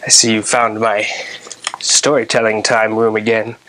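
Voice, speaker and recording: A man narrating a scary story in a deliberate, theatrical storyteller's manner, addressing his listeners as host. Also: on the low side, flat delivery, bright, forceful tone.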